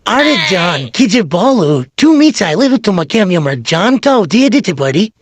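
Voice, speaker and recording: A man's voice: sits high, sing-song, normal speed, slightly rough, no room sound, heavily acted. A man voicing a fawning, besotted old man in an amateur comic cartoon, wheedling and placating as he talks.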